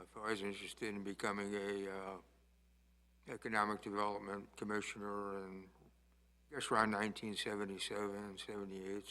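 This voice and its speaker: An older man, a village commissioner, introducing himself informally at a meeting in a halting, understated way. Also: fairly low pitch, bright, forceful tone.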